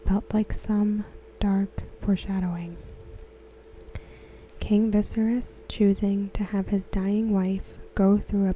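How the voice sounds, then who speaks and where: A woman softly reading aloud for ASMR in a breathy near-whisper, gentle and unhurried. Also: medium pitch, a little hiss or hum, rough recording. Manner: hushed, gentle, unhurried delivery. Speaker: ASMR creator. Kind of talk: soft-spoken ASMR read-aloud of written comments.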